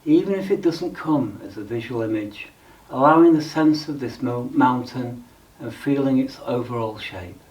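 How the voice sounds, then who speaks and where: A man leading a guided meditation in a calm, measured, instructional way with frequent pauses. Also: medium pitch, far from the mic, dull audio.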